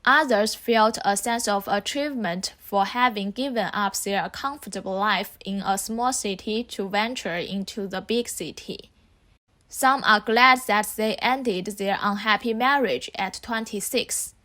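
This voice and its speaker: A young woman in her twenties, originally from southeast China, reads a scripted narration for a documentary. Her delivery is calm and reflective, steady and measured. Her voice sits at a medium pitch. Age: 20s. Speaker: narrator of a student film.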